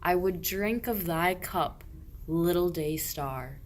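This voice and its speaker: Woman acting a low-voiced, slow, pious shepherd. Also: a little hiss or hum. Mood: adoring. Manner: declamatory rhymed verse. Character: pious, earnest medieval shepherd. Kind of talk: amateur radio play, verse mystery play.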